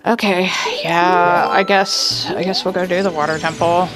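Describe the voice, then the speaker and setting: A woman's voice: lowish voice, steady tone, measured pace, over loud music, poor audio. A woman streaming a video game from home, chatting casually and thinking aloud with lots of fillers. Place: home, indoors.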